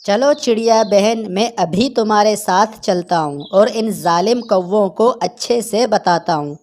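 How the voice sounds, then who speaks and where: A woman's voice: medium pitch, unhurried, darkish tone, close to an everyday voice. A woman voicing a loyal, protective male pigeon in a moral-story cartoon. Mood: determined.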